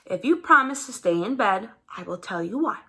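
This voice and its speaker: A woman voicing a firm yet tender mother in a children's storybook, gently instructing her child. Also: mid-range voice, lively intonation, normal speed. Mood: confiding.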